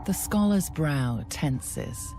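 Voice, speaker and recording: Low, creaky, ominous narrator. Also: female voice, expressive, drawn-out words, exaggerated voice, poor audio. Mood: ominous, measured. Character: ominous, measured female narrator of a dark fantasy. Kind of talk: dramatic second-person game narration. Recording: music behind the voice.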